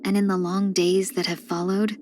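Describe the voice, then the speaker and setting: A woman voicing a video game character, a gentle, wistful fox-woman who speaks softly and politely in measured, reflective lines. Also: mid-range voice, little pitch movement, slight background noise, natural voice. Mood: fond, nostalgic. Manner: soft-spoken, measured, reflective, drifting into breathy near-whispers; polite and refined.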